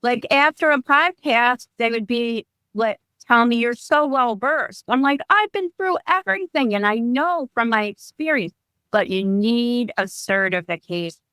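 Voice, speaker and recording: A woman's voice: fairly high pitch, expressive, measured pace, bright, forceful tone, smooth, dry recording. A woman in her fifties, a wellness coach talking as a guest in a podcast interview, chatty and often restarting her sentences. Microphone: remote call.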